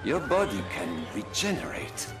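An actor voicing a cold, grave, obsessed scientist supervillain in a video game. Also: male voice, fairly low pitch, wildly swooping pitch, normal speed. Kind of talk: video game character acting. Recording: loud music behind.

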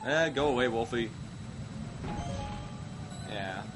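A man's voice: mid-range voice, takes it slowly. Sick Midwestern man rambling over game music. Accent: Midwestern American. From American Midwest. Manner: rambling, self-mocking, with sudden outbursts. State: sick, coughing. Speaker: let's play host. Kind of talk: casual gameplay commentary. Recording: game music playing loud underneath.

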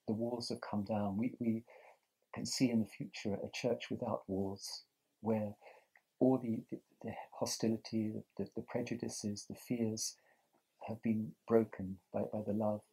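A man in his sixties from England, answering interview questions outdoors in a thoughtful, halting way with frequent restarts. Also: fairly low pitch, level tone, gravelly, mellow, slightly rough, poor audio. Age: 60s. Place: outdoors on a terrace by a lake.

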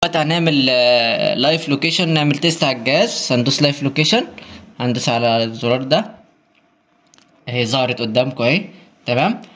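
A man's voice: medium pitch, lively intonation, fast talker, a bit bright, echoey room. A man with an Egyptian Arabic accent giving casual, hands-on tutorial commentary as an installer, recorded on site indoors. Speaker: installer presenting an installation video. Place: indoors in a marble-walled room. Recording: noisy handheld on-site recording.

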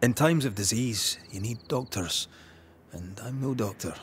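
An actor playing a terse, world-weary hunter of evil in a video game, dry and understated. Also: male voice, medium pitch, a little slow, slight background noise, close to an everyday voice. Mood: dry, wary. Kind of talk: naturalistic video game acting.

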